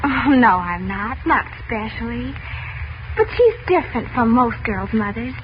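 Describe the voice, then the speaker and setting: A woman's voice: a little high, animated, normal speed, lightly acted. A woman playing a naive, anxious American teenage girl in a 1940s radio drama, earnest, hesitant and easily flustered. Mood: worried, devoted. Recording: muffled, noisy vintage radio recording.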